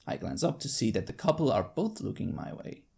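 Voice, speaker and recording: A storyteller reading first-person fiction aloud. The voice is husky and creaky at a middle pitch, and it moves freely up and down as each phrase is placed with deliberate drama. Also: wildly swooping pitch, warm tone. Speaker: fanfiction narrator. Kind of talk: scripted first-person audiobook narration in character.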